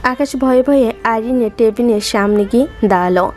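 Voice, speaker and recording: A woman's voice: on the high side, fairly quick, darkish tone. Woman narrating a story over music. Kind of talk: scripted dramatic story narration. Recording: music under the voice.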